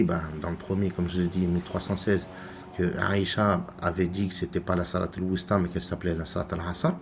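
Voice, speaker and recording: A man from France giving unscripted religious commentary, halting and full of repeated words and fillers. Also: deep voice, vocal fry, darkish tone, slight background noise. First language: French. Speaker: religious video maker.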